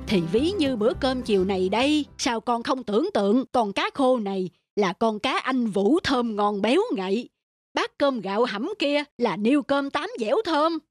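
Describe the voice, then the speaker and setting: A woman's voice: a little high, expressive, moderate pace, dry recording. A woman voicing a stingy old male landowner in a folk-tale cartoon, lecturing and scolding, with music behind. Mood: preachy.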